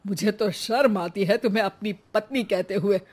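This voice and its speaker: An old father from an animated cartoon, played by a performer, upright and easily exasperated. He chides and moralizes like the head of the household. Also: mid-range voice, moderate pace, lightly acted. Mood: indignant. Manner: chiding, moralizing. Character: principled, exasperated elderly father, grey-haired family patriarch.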